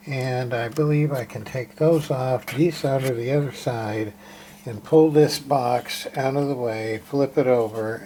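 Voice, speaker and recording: A man's voice: mid-range voice, dark tone. A man casually narrating hands-on repair work as a hobbyist, plain-spoken and muttering a little as he works. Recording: clear background noise.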